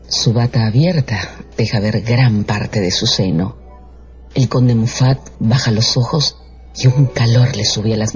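A man's voice: medium pitch, darkish tone. A man narrating a scripted radio drama in a storytelling role. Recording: dull radio recording with music.

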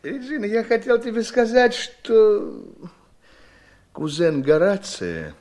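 A man's voice: sits high, wildly swooping pitch, slow, a little mellow, heavily acted. An actor playing a sardonic, mockingly genial middle-aged businessman in a 1970s Soviet radio drama. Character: sardonic, jovial, calculating middle-aged businessman, elder brother.

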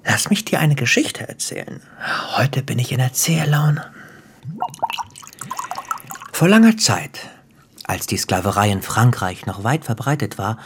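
An actor playing a menacing, sardonic gangster boss in a radio drama, taunting and playful, slipping into breathy whispers. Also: male voice, mid-range voice, lively intonation, a little slow, pauses often, slight background noise. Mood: taunting threat. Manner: taunting, theatrical, dropping into whispers. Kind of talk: German radio drama acting.

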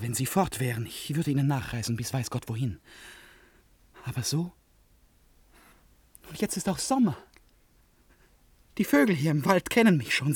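A man's voice: mid-range voice, husky, no room sound. A man in a German radio play acting a tormented, lovesick eccentric with an intense, erratic, theatrical manner. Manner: theatrical, erratic, intense, swinging between outbursts and whispers. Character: tormented, eccentric, obsessively lovesick male stranger.

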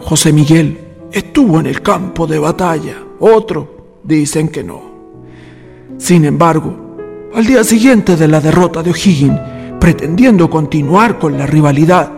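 Actor playing a slow, creaky old storyteller. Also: male voice, on the high side, darkish tone. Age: older. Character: ghostly old man, hospitable storyteller of local history. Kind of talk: radio drama acting. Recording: long reverb, faint music.

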